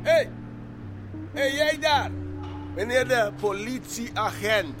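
Radio drama: jeering crude pimp, very high voice, wildly animated delivery, music behind. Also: male voice, takes it slowly, exaggerated voice. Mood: taunting. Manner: mocking, jeering.

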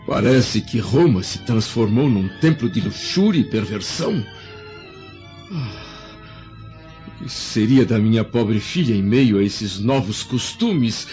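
A man's voice: medium pitch, expressive, takes it slowly, darkish tone. An actor playing a stern middle-aged Roman senator and father in a radio drama, formal and theatrical in delivery. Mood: dismayed. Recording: muffled audio under music.

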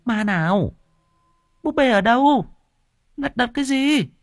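A man's voice: expressive, unhurried, dry recording, clean studio sound. A man voicing a worried, nagging old mother in a spoken horror story. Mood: alarmed. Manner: shrill, nagging, high-pitched.

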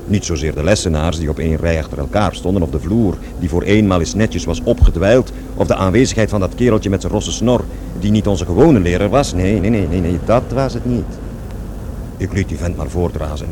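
A man's voice: deep voice, brisk, noisy background, lightly acted. An actor in a radio play playing an aggrieved, rambling man who recalls his past in conversational, self-correcting speech. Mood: agitated, reflective. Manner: digressive, self-correcting, repeats words emphatically.

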